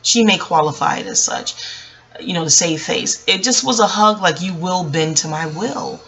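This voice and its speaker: Bright, halting woman telling a personal story. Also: fairly low pitch, gravelly, poor audio. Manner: rambling, halting, self-correcting, with emphatic pauses. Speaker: vlogger. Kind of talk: casual reflective personal storytelling.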